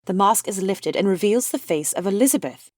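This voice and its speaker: A woman's voice: mid-range voice, lively intonation, fairly quick, no room sound, clean studio sound. A woman reading the stage directions of a play as scripted narration.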